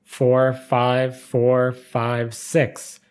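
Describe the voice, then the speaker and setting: A man reading as a gentle, playful young noblewoman in a storybook-style game lore narration. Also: mid-range voice, completely flat delivery, drawn-out words, smooth.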